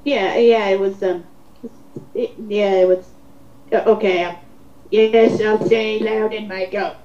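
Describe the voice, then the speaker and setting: A woman's voice: mid-range voice, steady tone, takes it slowly, mellow. A woman co-hosting a casual commentary, reading online comments aloud and remarking on them. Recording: roomy, dull lo-fi home recording.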